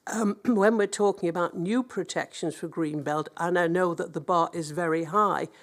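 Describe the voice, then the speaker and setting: Woman councillor, stuffy cold voice, fairly low-pitched, earnest, halting delivery. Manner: earnest, hesitant, with many ums and restarts. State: blocked-up nose from a cold. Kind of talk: public hearing statement.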